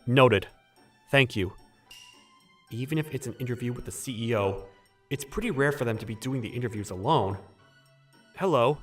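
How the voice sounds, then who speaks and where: A man acting an earnest, put-upon young office worker who narrates and voices his troubles in a manga dub, with expressive delivery. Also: mid-range voice, normal speed, long pauses, faint music behind, close to an everyday voice. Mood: polite, nervous.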